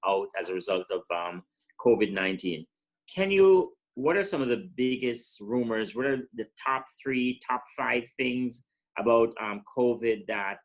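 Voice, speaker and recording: A man hosting an interview, asking friendly, halting questions with many fillers. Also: on the low side, rough recording. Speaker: show host, city manager.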